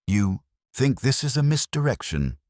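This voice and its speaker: A man voicing a shaken, bewildered man under police questioning in an audio drama, halting and insistent. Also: medium pitch, big pitch swings, a little mellow, no echo, clean studio sound, voice pushed up, natural voice. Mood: puzzled.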